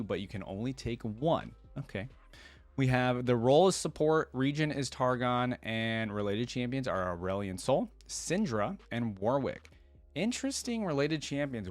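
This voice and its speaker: A man hosting a reaction video, switching between informal commentary and reading text aloud. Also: mid-range voice. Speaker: reaction channel host.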